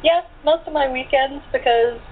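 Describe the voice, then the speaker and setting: Woman, higher voice, dry matter-of-fact chat, tinny call audio, faint background noise. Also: normal speed. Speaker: actor in a radio play. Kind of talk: casual interview chat. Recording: tinny phone-like internet call, noisy.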